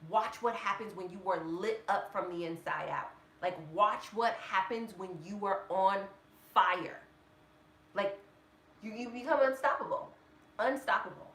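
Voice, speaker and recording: A woman's voice: medium pitch, a little slow, fairly bright tone. A woman in her thirties giving a motivational vlog talk, emphatic, repeating words and leaning on "like" fillers. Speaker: vlogger. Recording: echoey room with faint noise.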